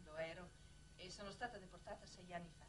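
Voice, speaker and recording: A woman's voice: fairly low pitch, steady tone, fast, lightly acted. An actress playing a blunt, coolly defiant exiled woman in a 1960s Italian television drama, speaking tersely and with restraint. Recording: noisy old 1960s TV soundtrack.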